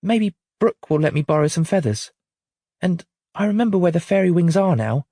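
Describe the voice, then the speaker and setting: A man puts on a small girl's high voice, bright and anxious. The voice is slightly breathy and swings widely in pitch. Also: animated, normal speed, no echo, clean studio sound. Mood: pleading. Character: little girl, anxious and sorry.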